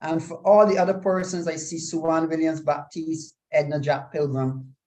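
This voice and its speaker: A man's voice: fairly high pitch, flat delivery, moderate pace, a little mellow, rough recording. A man moderating a live online panel on a video call, courteous and a little apologetic as he reads out viewer comments.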